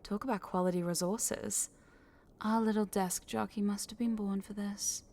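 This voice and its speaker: A woman's voice: mid-range voice, steady tone, moderate pace, warm tone, lightly acted. A woman playing a smug, possessive corporate boss in a scripted audio roleplay, teasing and condescending, sometimes dropping into a whisper. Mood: pleased, possessive.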